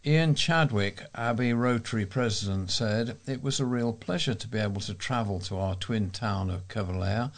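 A man reading local news aloud as a volunteer newsreader, in a measured way with explanatory asides. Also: mid-range voice.

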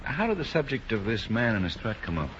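A man playing a deliberate, skeptical police sergeant in a 1950s radio drama, with measured, doubtful delivery. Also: on the low side, very animated, fairly bright tone. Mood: businesslike. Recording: dull, noisy 1950s radio recording.

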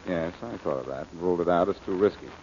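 An actor playing a suave, wisecracking amateur detective in an old-time radio drama, glib, teasing and self-assured. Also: male voice, sits low, fast talker, a little mellow, lightly acted. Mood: cocky, confident. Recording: muffled, noisy old radio recording.

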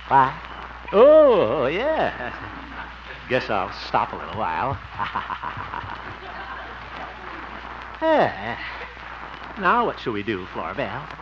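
An actor playing a jovial, bashful small-town bachelor in a 1950s radio comedy, hemming and chuckling in a theatrical way. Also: male voice, medium pitch, huge pitch swings, extremely slow, pauses often, a crowd in the background, noisy background, exaggerated voice. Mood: playful, bashful. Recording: muffled, lo-fi old radio recording.

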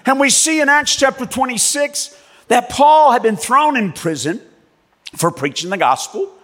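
A man preaching a sermon as pastor and missionary, telling stories with animated delivery and acting out the people in them. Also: very high voice, moderate pace. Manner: animated storytelling preacher, acts out voices in quotes. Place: church. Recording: long reverb of a church hall.

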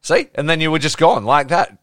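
Joking podcast host. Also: male voice, on the high side, fairly quick, fairly bright tone. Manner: joking, teasing, swears casually. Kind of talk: casual banter. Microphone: video call.

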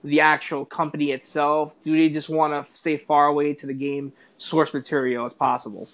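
Higher-voiced man chatting casually. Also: level tone, normal speed. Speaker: podcast co-host. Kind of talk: casual chat about films.

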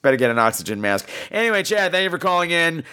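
A man's voice: fairly high pitch, sing-song, fast talker, bright, forceful tone, clean tone. A man hosting a podcast in casual chat, with a campy, sassy, profane way of talking. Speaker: podcast host. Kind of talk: casual solo chat, answering listener calls.

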